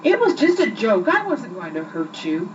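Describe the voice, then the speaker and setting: A woman's voice: mid-range voice, huge pitch swings, normal speed, far from the mic. A woman voicing a small, earnest, indignant boy hunter in a storybook reading, on an old amateur recording. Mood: alarmed, excited. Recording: muffled, noisy old amateur home recording.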